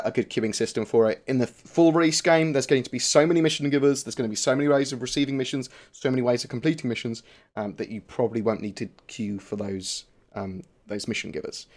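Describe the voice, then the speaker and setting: Young male streamer, casual and rambling, mid pitch, swears a lot, many ums. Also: a little mellow. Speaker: streamer answering viewer questions. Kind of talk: casual live-stream chat.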